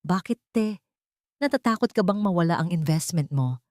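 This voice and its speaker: A woman's voice: on the low side, lively intonation, normal speed, a little mellow, no room sound, clean studio sound, natural voice. A woman voicing a bitter, defiant young heroine of an audio drama, accusing and emotional, with a Filipino accent.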